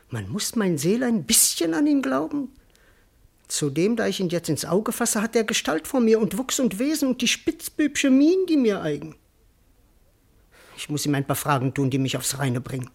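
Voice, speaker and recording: A bewildered, plaintive cowardly servant. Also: male voice, high-pitched, vocal fry, slightly rough, full character voice. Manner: plaintive, wordy, comically indignant. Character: bewildered, cowardly male servant doubting his own identity. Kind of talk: classical verse comedy radio acting.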